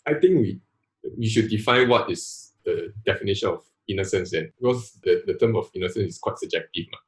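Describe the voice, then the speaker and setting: A Singaporean man in his twenties with a lowish voice, working through his argument earnestly, restarting and dropping in local particles. The sound has a roomy echo. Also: animated, brisk. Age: 20s. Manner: earnest, thinks aloud with restarts and "lah" particles. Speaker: panel guest. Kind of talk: casual panel discussion.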